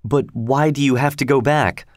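A man's voice: medium pitch, normal speed, no room sound, studio quality, close to an everyday voice. A man playing a doubtful, jealous young nobleman in love, earnest and questioning, in a storybook reading. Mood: puzzled.

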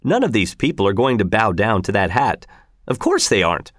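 Man voicing a grumbling soldier with big pitch swings. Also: wildly swooping pitch, brisk, a bit bright, no room sound, clean studio sound. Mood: exasperated complaining. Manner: complaining, emphatic, repeating himself. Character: grumbling, sarcastic soldier guard, exasperated and weary. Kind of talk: storybook character voice.

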